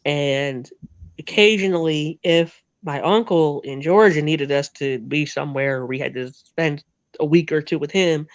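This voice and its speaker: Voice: mid-range voice, a little slow, dry recording, muffled recording. An interview guest from New Jersey with a half-Northern, half-Southern American accent, telling rambling stories in a chatty way with frequent laughs. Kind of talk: casual storytelling chat.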